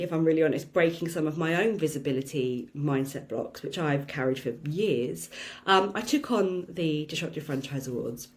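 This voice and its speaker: A woman hosting a solo podcast, talking in a chatty, reflective way with lots of "um"s and a slightly croaky voice. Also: sits low. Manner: chatty and reflective, many "um" fillers, laughs now and then. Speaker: podcast host.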